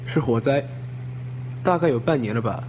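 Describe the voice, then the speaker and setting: A man's voice: medium pitch, animated, moderate pace, close to an everyday voice. A man playing a friendly, gossipy taxi driver in a Chinese audio drama, conversational and deferential. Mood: chatty, curious. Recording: vehicle hum behind the voice.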